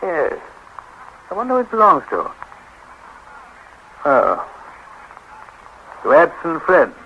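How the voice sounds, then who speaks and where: A formal, awkward husband in a 1930s radio play. His voice is high and swings widely through hesitant politeness. The recording is muffled with faint noise. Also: huge pitch swings, takes it slowly, full character voice. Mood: politely hospitable. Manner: hesitant, formal small talk.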